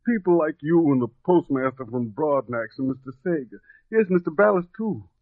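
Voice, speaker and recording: A grateful young country fellow in a 1950s radio play. His higher voice swings with feeling as he stumbles earnestly through folksy, ungrammatical phrases, on a thin, phone-like recording. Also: expressive, normal speed, no room sound. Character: humble, grateful young country man just freed. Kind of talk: 1950s radio drama acting.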